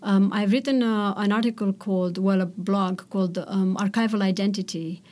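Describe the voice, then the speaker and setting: A woman's voice: medium pitch, fairly even intonation, a little mellow. Thoughtful woman poet. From Romania. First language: Romanian. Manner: thoughtful, measured, searching for words. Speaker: poet, interview guest. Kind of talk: poetry reading and reflective interview answers.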